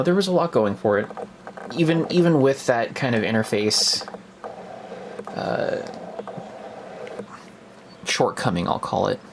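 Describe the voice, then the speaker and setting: A man's voice: medium pitch, creaky, a little hiss or hum. A young man giving a casual, unscripted product review in a hesitant, conversational way, as if thinking aloud.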